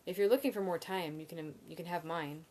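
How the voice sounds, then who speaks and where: A woman reading the lines of a shy, generous young woman student who speaks softly and modestly. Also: on the low side, a little fast, close to an everyday voice. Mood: gentle.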